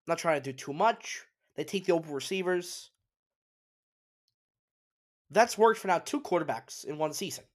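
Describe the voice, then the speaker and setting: A man's voice: a little high, expressive, moderate pace, long pauses. A man hosting a solo sports talk show, congested and sniffly, giving emphatic opinions with frequent restarts. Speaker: sports podcast host.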